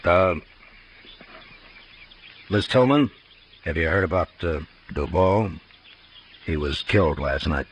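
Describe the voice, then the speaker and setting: A steady, world-weary Old West lawman with a very deep American voice. He is measured and authoritative in the style of a fifties radio western. The old recording carries faint noise. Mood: probing, restrained. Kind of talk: 1950s radio western acting and narration.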